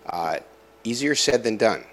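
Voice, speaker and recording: Deep-voiced man hosting an interview. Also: animated, a little hiss or hum. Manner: halting, many restarts and fillers. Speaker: interviewer host. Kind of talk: conversational interview.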